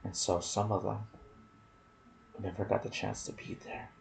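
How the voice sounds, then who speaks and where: A soft-spoken man hosting an ASMR gaming video, reading trivia with casual asides and sometimes dropping into a whisper. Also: fairly low pitch, little pitch movement, normal speed, vocal fry, a crowd in the background.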